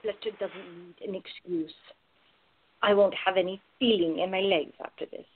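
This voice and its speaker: An actress playing a wry, protective woman in love in a radio play, husky and mock-grumbling. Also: medium pitch, steady tone, moderate pace, natural voice. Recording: narrow phone-like sound.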